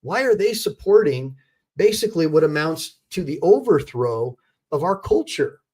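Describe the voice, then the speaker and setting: An American man hosting a livestream, his voice pitched a little above the usual male range. He talks straight to his viewers and reads their chat aloud. He repeats his points with emphasis and plenty of fillers. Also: big pitch swings, moderate pace, a little mellow, husky. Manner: repetitive, emphatic, with fillers and restarts. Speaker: attorney and livestream host. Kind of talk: casual livestream commentary.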